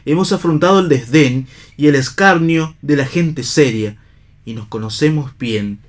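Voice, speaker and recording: A man's voice: fairly high pitch, a little slow, close to an everyday voice. An Argentine man playing a bitter, idealistic, disillusioned stage actor in a radio theatre reading. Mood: impassioned, oratorical.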